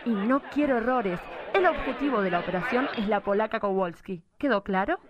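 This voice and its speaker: A woman's voice: medium pitch, expressive, brisk, muffled recording, close to an everyday voice. A woman playing a stern, exasperated judge with an Argentine accent, scolding and commanding in a comic radio drama. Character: stern, exasperated female judge giving orders. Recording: live radio play with crowd noise.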